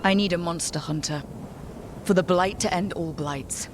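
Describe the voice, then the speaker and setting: An actress playing the determined leader of a hunting crew, speaking firmly and urgently. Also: female voice, on the low side, moderate pace, background noise, lightly acted. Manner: firm, urgent. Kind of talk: video game cutscene acting.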